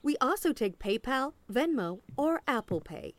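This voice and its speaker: An officious female agency representative in an audio drama. Her voice sits fairly high and swings expressively through a polished sales patter. She stays politely relentless, with sarcasm tucked under the courtesy. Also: big pitch swings, moderate pace, no room sound. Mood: briskly officious. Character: smooth, officious woman agent, politely relentless with veiled sarcasm. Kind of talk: audio play acting.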